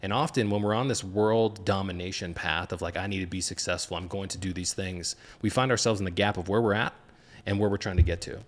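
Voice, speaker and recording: Male interviewer, deep register, earnest and reflective, motivational long-form podcast style. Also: steady tone, a little fast. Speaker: podcast host.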